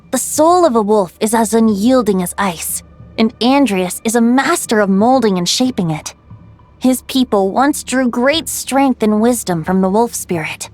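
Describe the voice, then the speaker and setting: A woman playing a calm, playful female guide telling old lore in a video game, measured and poised. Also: medium pitch, close to an everyday voice. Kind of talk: scripted game dialogue, storytelling.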